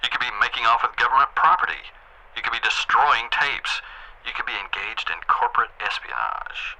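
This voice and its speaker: An overbearing male corporate boss in an audio drama. His voice is very bright and forceful at an average male pitch. He talks in a measured, condescending way, politely menacing and always in control. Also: lively intonation, poor audio. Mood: accusing. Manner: condescending, measured, passive-aggressive. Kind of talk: naturalistic audio drama acting.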